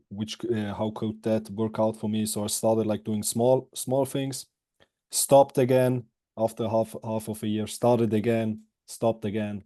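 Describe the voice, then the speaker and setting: Young man, mellow low voice. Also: dry recording, clean studio sound. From Switzerland. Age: 20s. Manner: halting, loose phrasing with frequent fillers and restarts. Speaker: guest, former student. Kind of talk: casual interview answers.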